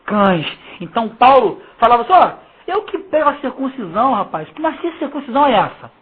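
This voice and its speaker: Casting: husky-voiced Carioca preacher, emphatic informal Bible teaching with mocking slang, thin phone-like recording. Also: mid-range voice, very animated, fairly quick. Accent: Carioca Brazilian Portuguese. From Rio de Janeiro, Brazil. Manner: emphatic, slangy, mocking, with rhetorical questions to listeners. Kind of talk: informal Bible exposition, reading verses and commenting. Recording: muffled, phone-like lo-fi sound.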